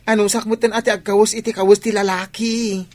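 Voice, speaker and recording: A woman's voice: mid-range voice, level tone, quick pace, darkish tone, natural voice. A woman playing an affectionate, slightly petulant young bride-to-be in a radio drama. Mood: fond, fussing.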